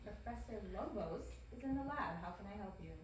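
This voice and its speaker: A woman playing a feisty, exasperated young lab assistant in a comedic animated show table read. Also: medium pitch, brisk, natural voice. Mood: flippant, evasive. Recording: very echoey room, distant mic, faint noise.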